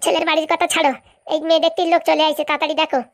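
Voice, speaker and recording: Squeaky young cartoon matchmaker man. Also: level tone, very fast. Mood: eager. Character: chatty young male village matchmaker, cartoon. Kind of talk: comic cartoon dialogue.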